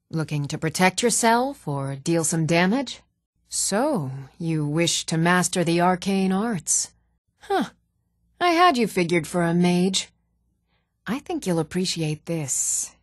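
An actress playing a steady, firm young woman in a fantasy video game, speaking with measured, earnest delivery. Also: fairly low pitch, dry recording, clean studio sound, full character voice. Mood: businesslike, friendly. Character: even-toned young adult woman of a fantasy world, steady and stern.

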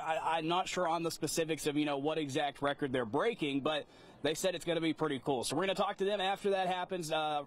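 A man's voice: fairly high pitch, slight background noise. A young man giving an upbeat, rambling live TV field report outdoors at a small airport, talking into a handheld mic. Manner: upbeat, rambling, repeats "cool".

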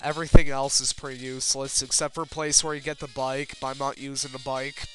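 A man's voice: mid-range voice, level tone, quick pace, pushed and bright, poor audio. A man giving casual, rambling video game commentary in a self-deprecating way, with casual swearing. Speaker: let's player. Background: video game music.

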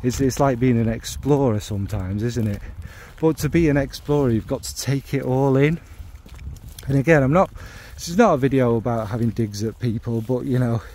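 A man's voice: medium pitch, moderate pace. A man chatting casually about his fragrance hobby while out walking the dog, rambling and wry as he questions himself. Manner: thinking out loud, wry and self-questioning. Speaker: fragrance video maker. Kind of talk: rambling walk-and-talk chat. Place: outdoors on a dog walk in the rain.

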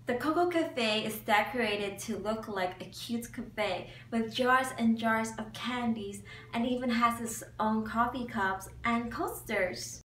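A woman's voice: on the high side, a little slow, a bit bright, distant mic. A cheerful young woman presenting a beauty vlog in upbeat scripted narration, filmed against a plain wall in a small room. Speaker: beauty vlogger. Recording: echoey room, mic set back, faint hum.

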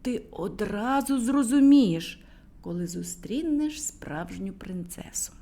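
Woman reading a gentle fatherly storybook king, slow and soothing. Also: mid-range voice, lively intonation. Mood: reassuring. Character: kindly old king, patient father.

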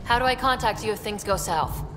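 An actress playing a dry, cocky young space explorer in a video game, terse and self-assured. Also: female voice, mid-range voice, brisk, music in the background, crowd noise, natural voice. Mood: dry, cool. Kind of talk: video game dialogue acting. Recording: roomy echo, faint background noise.

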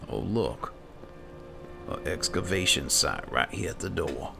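A man with a gravelly voice giving laid-back, casual roleplay commentary as he plays a game, muttering to himself. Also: low-pitched, expressive, a little slow, over music. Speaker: gaming video host, player. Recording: clear game ambience noise behind. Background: video game ambience.

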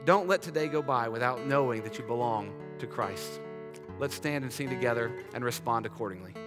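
Earnest pastor in a reverberant sanctuary. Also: male voice, medium pitch, fairly quick, music in the background. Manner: earnest, urging, drops soft in prayer. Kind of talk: sermon invitation and prayer. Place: large church sanctuary. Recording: church hall reverb.

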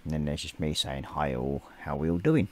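A man in his forties with a slightly croaky voice, casually chatting on a live stream and reading out viewer messages as he paints. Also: a bass voice, brisk. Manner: reads chat messages aloud, relaxed and rambling. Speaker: livestream host. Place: small hobby room at home.

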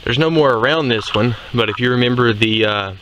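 A young man hosting an outdoor channel, explaining things in a relaxed way as he walks through a forest, recorded on a handheld camera. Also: medium pitch, a little fast, a little hiss or hum, rough recording. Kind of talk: casual hiking narration.

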